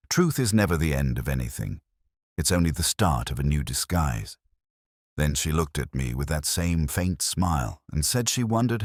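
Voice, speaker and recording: A man narrating a scripted first-person detective drama in a measured, solemn, literary manner. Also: a bass voice, no room sound, studio quality.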